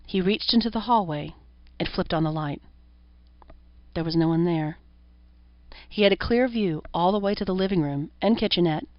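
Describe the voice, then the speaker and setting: A woman's voice: lowish voice, normal speed, pauses often. A woman reading a horror story as an audiobook narrator, in scripted narration. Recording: roomy echo, dull lo-fi sound.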